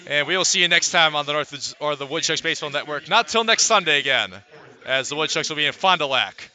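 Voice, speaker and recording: A man giving live radio play-by-play of a baseball game, calling it in measured, deliberate phrases with pauses. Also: fairly high pitch, lively intonation, pushed and bright. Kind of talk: live radio sports commentary.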